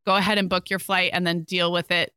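A woman co-hosting a podcast in casual conversation, slightly hoarse from a cold, thinking her ideas through out loud. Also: fairly low pitch, level tone, quick pace, dry recording, clean studio sound. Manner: thoughtful, talks through ideas with restarts. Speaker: podcast co-host.

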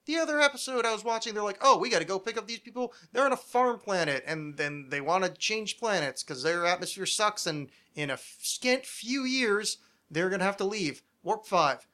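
A man's voice: high-pitched, lively intonation, moderate pace, pushed and bright. A man hosting a podcast, chatting casually in an opinionated, nerdy, swearing rant. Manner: ranting, profane, nerdy. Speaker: podcast host.

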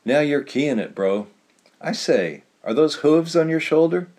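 A giddy, boastful old man crows in a raised, bright voice, voiced by a man reading aloud. The pitch sits noticeably above the narrator's own. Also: expressive, a little slow.

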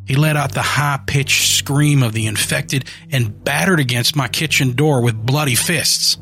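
A man reading a first-person horror story aloud in a measured, matter-of-fact way. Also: mid-range voice, a bit bright, faint music behind. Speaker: narrator.

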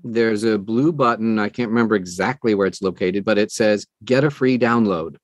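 A man's voice: fairly low pitch, moderate pace, no room sound, clean studio sound. An older American man chatting casually as an interview guest. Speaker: guest, audiobook narrator and publisher.

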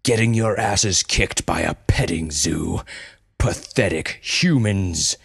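A man voicing a menacing old male zookeeper villain who talks in a slow, theatrical, gloating way, with guitar music behind him. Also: sits low, vocal fry, a bit bright, breathy edge, no room sound, studio quality, exaggerated voice. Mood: scornful.

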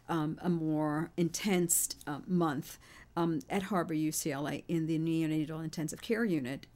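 A middle-aged woman from Haiti and New York, answering interview questions in a measured, explanatory, conversational way. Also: on the low side, a little mellow. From born in Haiti, raised in Queens, New York. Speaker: interview guest, physician and university president.

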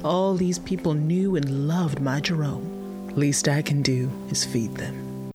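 An elderly widow, speaks in a folksy, plainspoken way in an audio drama. She is gracious, tender and quietly proud. Plucked guitar music plays clearly behind her. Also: low voice, fairly even intonation, takes it slowly. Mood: warm, proud. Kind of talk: audio drama character acting.

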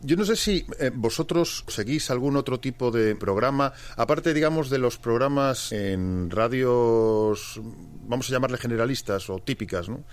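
A man's voice: medium pitch, animated, moderate pace. A man, interviewing casually and rephrasing his questions hesitantly as he goes.

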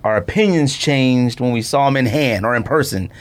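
A man hosts a casual studio podcast at an average male pitch. He rambles in slangy, animated fashion, moving loosely from one aside to the next. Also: moderate pace. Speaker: sneaker podcast host. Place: podcast recording room, in studio.

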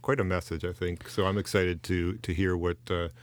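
Man, middle-aged, co-host, earnest, soft-spoken, deep. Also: level tone, normal speed. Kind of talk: casual chat. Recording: faint music behind.

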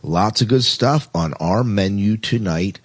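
A male podcast host with a low, slightly rough voice, recorded close and dry. He chats casually and keeps things playful and jokey. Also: measured pace, no echo.

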